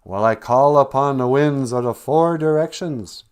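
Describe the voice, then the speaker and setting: A man's voice: mid-range voice, normal speed, a little mellow, clear, resonant tone, voice pushed up, lightly acted. A man voicing the Great Spirit of a creation tale, giving commands in a solemn, firm voice. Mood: commanding.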